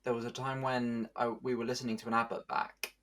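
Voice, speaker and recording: A man's voice: medium pitch, little pitch movement, a little fast, fairly bright tone. A man asking casual interview questions, drawing on his own experience as a voice actor.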